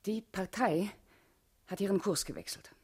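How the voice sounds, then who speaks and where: An actress playing a guarded, urgent female party comrade in a German radio play, speaking gravely and deliberately with a husky, intimate voice. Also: medium pitch, darkish tone, close to an everyday voice. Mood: tense, explaining.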